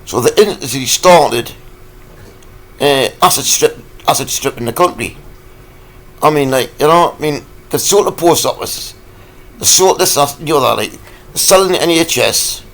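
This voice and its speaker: A man, croaky from a cold, ranting in a rambling, halting vlog full of fillers. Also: on the high side, lively intonation, a bit bright. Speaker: vlogger.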